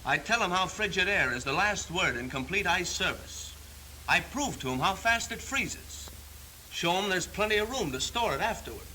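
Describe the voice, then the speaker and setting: A brash 30s high pressure salesman, high piercing voice, punchy slangy bragging, old film hiss. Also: expressive, normal speed, sharp tone, exaggerated voice. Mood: brash, boastful. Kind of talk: 1930s training film acting. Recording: hissy, noisy 1930s film soundtrack.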